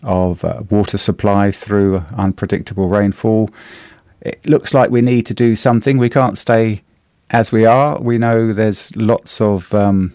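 A middle-aged man with a New Zealand accent, a slightly hoarse radio host chatting through the day's newspaper, halting with many fillers and restarts. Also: lowish voice, fairly even intonation, darkish tone, no room sound, studio quality. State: a bit hoarse. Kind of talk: casual radio chat reviewing the newspaper.

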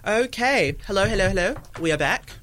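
A woman's voice: medium pitch, animated, brisk, background noise. A young woman with a New Zealand accent, co-hosting a youth radio show, rambling in a bubbly way and cutting herself off. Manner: bubbly, rambling, self-interrupting. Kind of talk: casual chat. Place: small radio studio.

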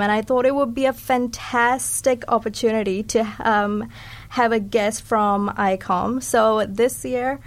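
A young woman from New Zealand with Sri Lankan roots, hosting a casual interview podcast in an upbeat, informal way. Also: a little high. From Sri Lanka, raised in New Zealand from age eight. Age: young adult. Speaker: podcast host.

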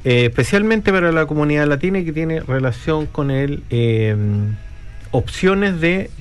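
A man's voice: on the high side, unhurried, clear, resonant tone, soft background music. Rambling male radio host. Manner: relaxed, rambling, many fillers. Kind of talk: casual chat. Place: radio studio.

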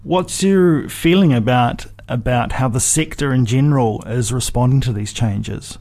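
A man hosting a conversational interview, phrasing his questions in a measured, reflective way. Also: medium pitch, darkish tone. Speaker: radio show host.

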